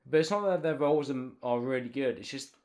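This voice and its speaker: A man's voice: medium pitch, fairly quick, darkish tone. A man chatting casually and informally as a podcast panellist. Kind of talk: casual chat.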